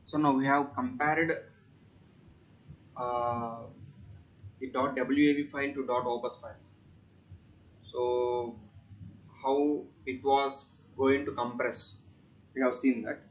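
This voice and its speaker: A man presents a screen-recorded technical tutorial in a medium-pitched voice. He explains each step haltingly, often restating what he has just said. The delivery is that of a plain, practical demonstration. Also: rough recording. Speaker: student presenter demonstrating software.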